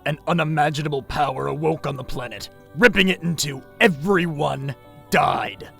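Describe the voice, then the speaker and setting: A man voicing a solitary male warrior mentor in an audio drama, grave and measured, with a gravelly voice. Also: a little high, fairly bright tone, soft background music.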